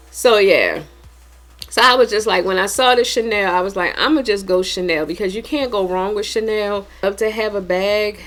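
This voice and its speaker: A woman in her forties with an American accent, chatting casually and informally to viewers as a vlogger and repeating points for emphasis. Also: medium pitch. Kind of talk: casual unboxing chat to viewers.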